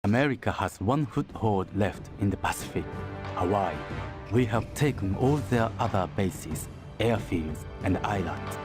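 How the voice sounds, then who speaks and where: An actor playing a solemn military briefing officer, narrating a scripted game briefing in a measured, authoritative way. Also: male voice, fairly low pitch, animated. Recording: loud music under the voice.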